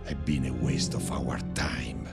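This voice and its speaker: A man's voice: very deep voice, a little slow, exaggerated voice. A man playing a smug, cultured crime-boss businessman in a video game, theatrical and condescending. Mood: smug, taunting. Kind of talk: cinematic game villain acting. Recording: music clearly behind the voice.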